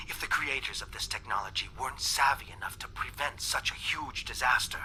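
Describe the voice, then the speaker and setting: An actor playing a fearful dissident alien scientist in a game cutscene, speaking in a measured, grave manner. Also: male voice, a little high, pushed and bright, a little hiss or hum, poor audio.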